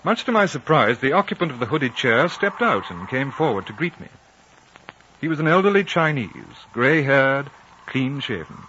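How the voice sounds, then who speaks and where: A man's voice: medium pitch, normal speed. A man playing a composed, courteous British consul who leads and narrates a 1950s radio drama in articulate speech. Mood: grave, narrating. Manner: calm, articulate, reasoning. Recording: muffled noisy 1950 radio recording.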